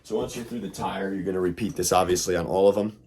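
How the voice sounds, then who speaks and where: Brief: young man, very low voice, hoarse from illness, loose and rambling how-to host. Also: steady tone, fast, darkish tone. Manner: loose, rambling, lots of fillers. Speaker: RC hobby video host. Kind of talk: casual how-to tutorial.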